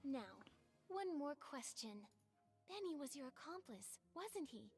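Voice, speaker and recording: High-voiced, insistent female investigator. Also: big pitch swings, slow, darkish tone, soft background music, full character voice. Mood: accusing. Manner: insistent, accusatory, articulate. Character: determined young female investigator pressing a suspect. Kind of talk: video game voice acting.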